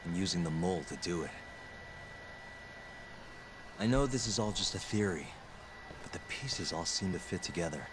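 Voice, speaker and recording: A man playing a calm, serious sleuth who reasons deliberately, in video game dialogue with background noise. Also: sits low, close to an everyday voice. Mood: grave. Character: calm, deep-voiced serious male sleuth.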